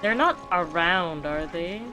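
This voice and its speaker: A woman's voice: on the low side, expressive, a little slow. A woman streaming a game and reacting in casual chat. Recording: game music behind the voice. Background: game music.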